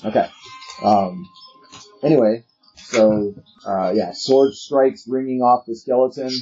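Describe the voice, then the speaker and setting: A man's voice: medium pitch, steady tone, slow, warm tone, dull audio, slight background noise. A man running a tabletop role-playing game, narrating the action and explaining rules conversationally. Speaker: game master.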